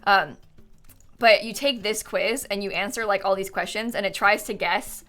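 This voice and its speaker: A young woman streamer from Central Florida, with an American accent that leans Midwestern. Her voice is bright and forward, at a middle pitch for a woman. She chats loosely with viewers, filling her sentences with "like" and "um" and laughing often. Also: normal speed. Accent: American, Midwestern-leaning. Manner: chatty, rambling, full of "like" and "um", laughs often. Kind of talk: casual livestream chat answering viewers.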